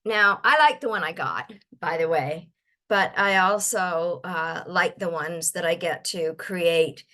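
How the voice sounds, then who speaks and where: An older woman from Ontario giving a webinar talk, her voice a little croaky, halting often with fillers and restarts. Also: fairly low pitch, bright, forceful tone. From Ontario, Canada. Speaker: guest speaker.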